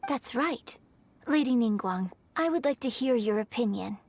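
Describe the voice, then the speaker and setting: A woman's voice: a little high, expressive, moderate pace, a little mellow. A woman voicing a gracious young female opera playwright who speaks courteously and formally. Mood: polite. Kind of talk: game cutscene voice acting.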